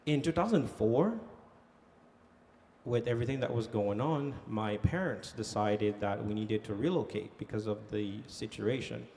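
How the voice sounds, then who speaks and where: A man's voice: on the low side, unhurried, a little hiss or hum. A man from Haiti speaking on a conference panel as an economic development director, telling his story with many fillers. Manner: animated storytelling with frequent fillers. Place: conference hall.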